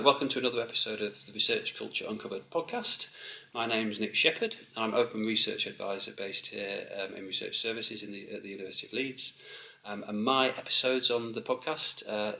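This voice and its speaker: A man's voice: fairly low pitch, moderate pace, gravelly, sharp tone. A man hosting a casual interview podcast, talking hesitantly with many ums and restarts. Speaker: podcast host, open research adviser. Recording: roomy echo.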